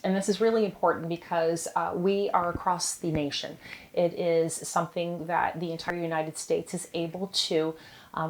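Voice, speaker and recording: Measured woman association executive, lowish voice. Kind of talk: interview to camera.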